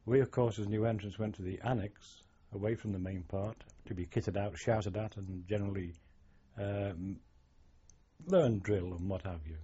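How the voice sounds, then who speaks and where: An older man tells his memories in a wry, reflective way. His voice is low, husky and mellow. It comes through the dull sound of an old archive tape. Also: fairly even intonation, normal speed. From England. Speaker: oral history interviewee, former navy sailor. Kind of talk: reminiscing oral history interview.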